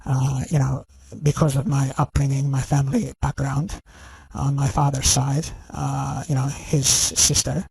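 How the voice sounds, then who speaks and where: A man's voice: mid-range voice, little pitch movement, a little slow, gravelly, a little mellow, slightly rough, dry recording. A man delivering a rambling, scornful rant to his viewers, full of "you know" and "okay?". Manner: scornful and insistent. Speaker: channel host. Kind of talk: ranting monologue to camera.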